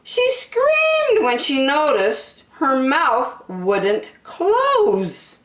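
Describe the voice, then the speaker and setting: A woman reading a picture book aloud to children in an expressive way, drawing out key words for emphasis. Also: high-pitched, extremely slow, echoey room. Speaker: children's story reader.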